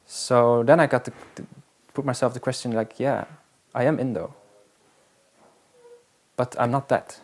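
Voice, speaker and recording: A man answers interview questions in a relaxed, conversational way. His voice has a gravelly, creaky texture at a middle pitch. He often pauses and restarts as he thinks his answers through. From Netherlands. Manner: halting and reflective, with frequent restarts. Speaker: interviewee, wilderness guide. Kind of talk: casual interview talk.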